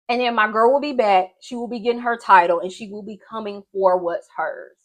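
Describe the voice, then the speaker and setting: A woman's voice: on the high side, fairly bright tone. A young woman vlogger chatting casually and gushing in informal slang while she does her makeup. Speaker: beauty and wrestling vlogger.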